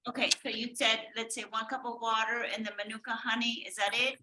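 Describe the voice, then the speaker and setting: A middle-aged woman from El Salvador asks questions politely, often apologizing. Her voice is high, bright and sharp. She is heard over a video call. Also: little pitch movement, a little fast, low-quality recording. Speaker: participant asking questions.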